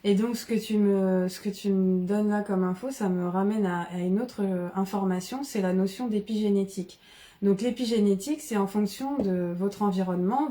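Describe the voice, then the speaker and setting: A woman from the Paris region, explaining ideas in casual, animated conversation with frequent restarts. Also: medium pitch. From Paris region, France. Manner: animated, many restarts and fillers.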